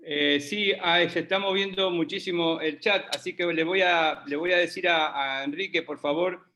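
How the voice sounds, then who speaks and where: A man moderating an online academic panel, talking in an animated way with quick interjections. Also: fairly high pitch.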